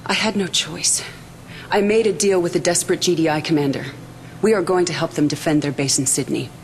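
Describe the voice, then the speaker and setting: An actress playing a resolute, defiant military faction leader in a video game cutscene, speaking formally and with measured authority. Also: female voice, sits low, slight background noise. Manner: formal, measured briefing delivery.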